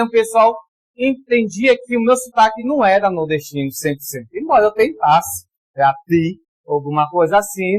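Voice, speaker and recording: A man from Pará, Brazil, preaching a sermon in a conversational way, calling for amens and reading scripture slowly with emphasis. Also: high voice, no echo, poor audio. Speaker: pastor.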